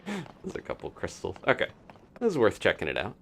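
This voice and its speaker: A man's voice: lowish voice, huge pitch swings, brisk, gravelly, slight background noise. A man doing casual live commentary on a video game as he plays, thinking aloud. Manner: thinking aloud, talking to himself and his game character.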